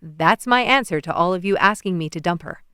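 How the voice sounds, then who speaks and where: Audiobook male lead, played by a woman narrator: smooth, low-key, playful young suitor. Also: animated, fairly quick, pushed and bright, no room sound, clean studio sound. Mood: earnest. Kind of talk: audiobook character voice.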